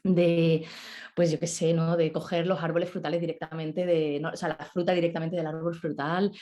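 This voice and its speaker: A woman from Málaga with a low, soft, mellow voice, talking through her memories at an easy pace. She tells her stories in a relaxed way, checking in with her listener often. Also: moderate pace. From Málaga, Spain. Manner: warm storyteller, many "¿no?" tags. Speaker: guest, nutrition specialist. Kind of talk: relaxed storytelling interview.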